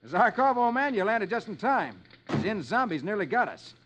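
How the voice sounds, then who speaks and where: Man playing a bold, high-voiced space hero. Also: very animated, moderate pace, background noise. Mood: hearty, relieved. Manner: bold, hearty. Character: heroic male space adventurer, confident and hearty. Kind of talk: 1950s radio serial hero acting. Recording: lo-fi old radio, loud music behind.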